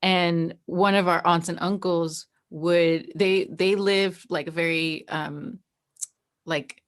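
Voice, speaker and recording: An American woman with a slightly low voice, speaking as an interview guest. She tells stories casually, sprinkling in "like" and repeating words for emphasis. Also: fairly even intonation, slow pace. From New Jersey, United States. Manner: informal, peppered with "like" and emphatic repeats. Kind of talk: casual chat, personal storytelling.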